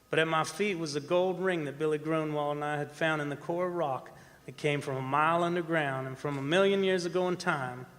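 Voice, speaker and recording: An actor playing a haunted, defensive oil rig worker in a live radio drama, telling his eerie tale in a folksy, halting way. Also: on the high side, natural voice. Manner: folksy, halting, repeating words for suspense. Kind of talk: live radio drama acting, first-person horror narration. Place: performing arts center stage. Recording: stage performance in reverberant hall, music behind.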